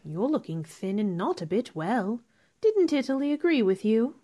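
A proud, sharp-tongued young lady of a period radio play acted by a woman. Her voice is fairly high and swings widely in pitch, with cool, formal diction. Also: very animated, moderate pace. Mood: coolly polite. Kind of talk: radio drama acting.

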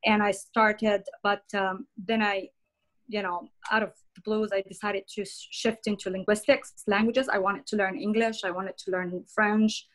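A middle-aged woman from Jordan, a podcast guest talking through her career in a relaxed interview, pausing often with many fillers and restarts. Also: medium pitch. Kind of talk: conversational interview.

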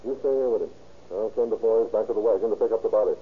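A man's voice: lowish voice, very fast, lightly acted. Man playing a terse deputy through heavy hiss. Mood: urgent commanding. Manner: terse, take-charge. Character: decisive male deputy sheriff, tough and urgent. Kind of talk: 1930s radio crime drama acting. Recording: muffled, very hissy old radio recording.

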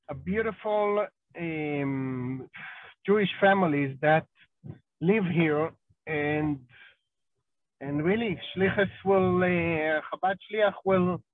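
Slow, halting Israeli man on a phone line. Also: on the high side, dry recording. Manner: slow and halting, many ums and uhs. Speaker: rabbi, interview guest. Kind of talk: podcast interview.

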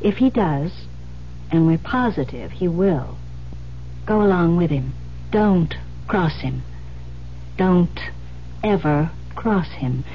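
Old time radio, muffled w/ bit of hum, lady investigator, firm, pushy, really expressive pitch. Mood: ominous warning. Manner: deliberate, insistent, emphatic. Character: firm, persuasive female investigator recruiting a bait. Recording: muffled vintage radio recording, humming hiss.

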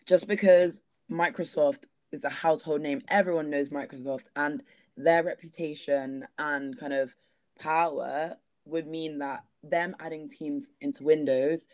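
Hoarse man losing his voice. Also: fairly high pitch, fairly even intonation, a little slow, no echo. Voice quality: slightly hoarse. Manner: hesitant, many fillers and laughs. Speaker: guest. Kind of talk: casual discussion.

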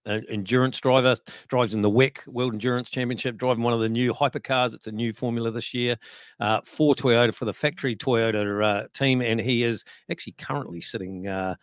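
A man co-hosting a podcast, speaking enthusiastically but haltingly with many pauses. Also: medium pitch, steady tone, clean tone, no room sound, clean studio sound. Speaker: podcast co-host. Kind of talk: casual podcast chat.

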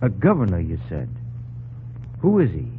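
An actor playing a loyal, plainspoken frontier farmer in an old-time radio drama, with folksy rustic phrasing. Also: male voice, low voice, huge pitch swings, moderate pace. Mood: thoughtful. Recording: muffled old radio recording, faint noise.

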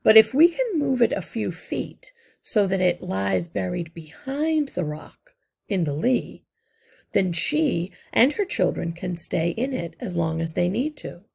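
A woman voicing an old, wise male rat leader in a storybook, measured and courteous as he explains. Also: medium pitch, sing-song, gravelly, mellow, slightly rough, heavily acted.

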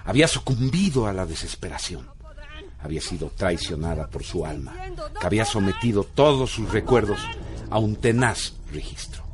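A man's voice: on the low side, big pitch swings, moderate pace, a crowd in the background. A man narrating a radio drama in a solemn, theatrical way. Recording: music bed behind voice.

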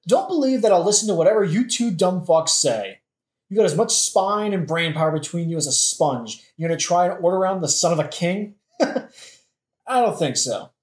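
A man's voice: high voice, lively intonation, moderate pace. Man playing a scornful, insolent prince, echoey. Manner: mocking, profane, sardonic. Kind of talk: satirical audio play acting. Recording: echoey room.

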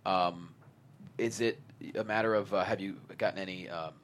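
A man's voice: low voice, fairly even intonation, normal speed, a bit bright. A man talking casually as a panelist in an interview discussion. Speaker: panelist asking questions. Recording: clear background noise, room ambience.

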